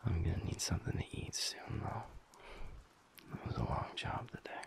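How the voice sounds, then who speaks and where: Male actor as affectionate werewolf boyfriend, half-whispered, low-pitched, playful mock-stern teasing, intimate ASMR roleplay. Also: full character voice. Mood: relaxed, affectionate. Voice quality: breathy, gravelly low murmur. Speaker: audio roleplay performer.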